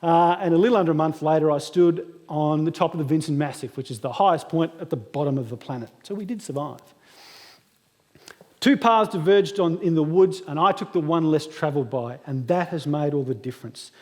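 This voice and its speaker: Aussie guy in his 30s, fairly high voice, cracking self-deprecating jokes thru a keynote, long hall echo. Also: a little fast, a little mellow. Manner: humorous self-deprecating storytelling. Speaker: guest speaker. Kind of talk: motivational keynote speech. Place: large hall at a graduation ceremony. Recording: echoey hall reverb.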